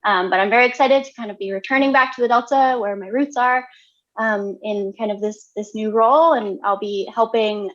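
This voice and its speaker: A woman's voice: medium pitch, fairly bright tone. Young woman on dull lo-fi call audio. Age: young adult. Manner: hesitant, many fillers. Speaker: new science staff member. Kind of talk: self-introduction at a public meeting.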